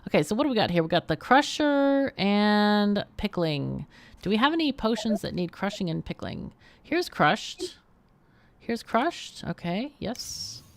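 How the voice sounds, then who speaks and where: A woman giving casual live game commentary, chatty and expressive, often muttering to herself as she thinks aloud. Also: mid-range voice, measured pace, vocal fry, no room sound. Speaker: game streamer, host.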